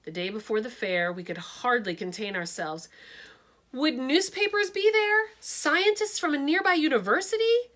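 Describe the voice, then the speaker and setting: A woman teacher reading a picture book aloud, expressive and dramatic, shifting her tone for suspense. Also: high-pitched, moderate pace.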